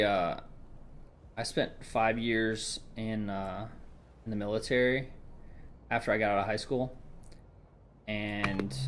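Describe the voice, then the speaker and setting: A man's voice: fairly low pitch, noisy background. A young man from Georgia with a light Southern accent, chatting casually with stream viewers in a rambling, hesitant way full of restarts.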